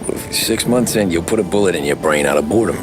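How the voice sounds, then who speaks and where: A man's voice: low-pitched, fast talker, lightly acted. An actor playing a level-headed American mobster and family man, terse and dry, in a crime game. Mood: guarded. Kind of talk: cinematic video game crime drama acting.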